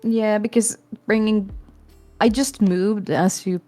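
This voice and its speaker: A woman's voice: mid-range voice, steady tone, takes it slowly, mellow, soft background music. A young woman with a Swedish accent chatting casually and frankly, sometimes swearing. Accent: Swedish-accented English. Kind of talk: casual chat.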